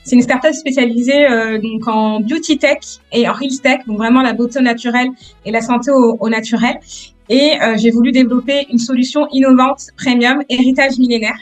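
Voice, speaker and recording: A woman in her thirties from France, a guest entrepreneur answering interview questions with many hesitant pauses. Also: a little high, steady tone, faint music behind, poor audio. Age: 30s. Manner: hesitant, many "euh" pauses, soft-spoken.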